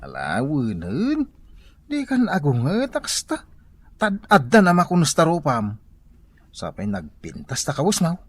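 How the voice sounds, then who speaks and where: An actor with an Ilocano accent playing a weary, grumbling husband in a comedy radio drama. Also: male voice, on the high side, huge pitch swings, unhurried, slightly rough, heavily acted. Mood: teasing, exasperated. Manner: grumbling, exasperated.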